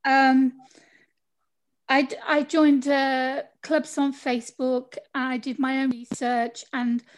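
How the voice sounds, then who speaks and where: A woman's voice: high-pitched, level tone, slow pace. A middle-aged woman from the UK with a British accent, telling her story as a guest in a casual interview over a video call, chatty and laughing often. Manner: chatty, laughs often, acts out quoted people. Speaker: podcast guest.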